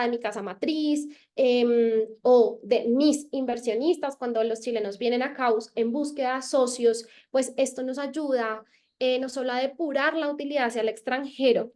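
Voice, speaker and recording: Colombian woman, high voice, webinar consultant, explains with lots of ehs and ems, video call sound. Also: a little mellow. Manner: explanatory, many filler sounds. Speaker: tax consultant presenter. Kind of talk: webinar presentation.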